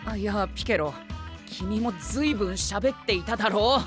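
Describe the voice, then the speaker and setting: A man playing a haughty, fussy young gentleman knight in an anime-style audio drama, boyish and theatrical, peppering his speech with English exclamations. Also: sits high, unhurried. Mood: flustered. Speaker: voice actor. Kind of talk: animated comedic character banter. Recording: studio voice over prominent background music.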